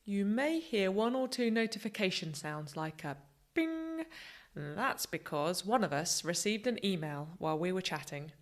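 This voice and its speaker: A woman hosting an English-learning podcast, her pitch in a typical middle range. She introduces the show and asks questions in an upbeat, encouraging way. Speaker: podcast host. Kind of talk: presenting and interviewing. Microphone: video call.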